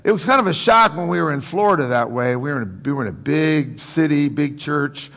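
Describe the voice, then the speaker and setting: American male pastor, creaky voice, joking and folksy, preaching conversationally in an echoing hall. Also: on the high side, lively intonation, fairly quick, a bit bright. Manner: folksy, joking, rhetorical questions to the congregation. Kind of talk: conversational sermon. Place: large room, preaching to a congregation. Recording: reverberant hall.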